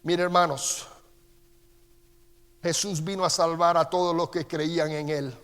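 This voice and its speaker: A middle-aged man with a Costa Rican accent preaching a sermon as pastor. He reads Scripture slowly and with emphasis, then turns quieter as he tells personal stories. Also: on the high side, flat delivery, clean tone. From Costa Rica. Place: church sanctuary. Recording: long church-hall reverb.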